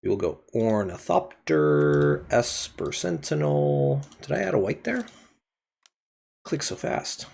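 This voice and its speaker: A man's voice: lowish voice, huge pitch swings, slow pace, gravelly, darkish tone, slightly rough. A man streaming a card game, thinking aloud as he plays, his voice still throaty from a cold. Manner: thinking aloud while playing. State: throaty, getting over a cold. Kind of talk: casual card game commentary.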